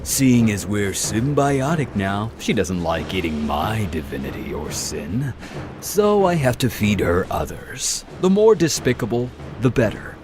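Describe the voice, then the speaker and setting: An actor playing a taunting, sadistic monster-bonded hunter in an audio drama, with a theatrical, toying menace, over music. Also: male voice, fairly low pitch, noisy background. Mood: gloating menace.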